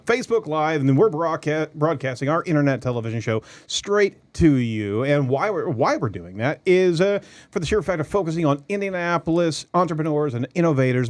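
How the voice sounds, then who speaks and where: Joking guy hosting a local talk show, high-ish voice that bounces all over, repeats himself a lot. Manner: joking, energetic, stumbles and repeats words. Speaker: host. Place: studio.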